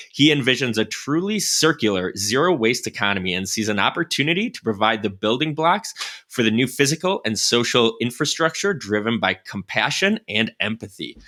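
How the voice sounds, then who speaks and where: A man's voice: mid-range voice, sharp tone. A man in his forties co-hosting a podcast interview over a video call, with an upbeat, encouraging manner. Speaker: podcast co-host. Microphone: headset on video call.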